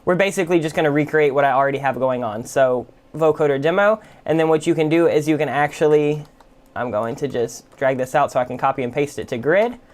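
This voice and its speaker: A man giving a casual, conversational software tutorial as the host. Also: a little high, brisk. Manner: informal, conversational. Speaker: music production tutorial host. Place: indoors at a computer.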